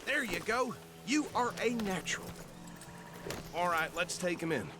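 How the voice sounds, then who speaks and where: A man's voice: sits high, animated, a little slow. An actor playing a courteous, talkative small-town lawman with a Southern US accent in a western video game. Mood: pleased, brisk. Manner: folksy, talkative, formal politeness. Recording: music behind the voice.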